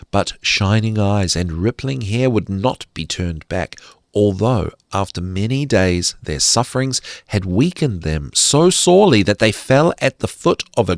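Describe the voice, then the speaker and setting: Slow, very animated low-voiced male storyteller. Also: no echo, clean studio sound. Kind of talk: expressive scripted fairy-tale narration.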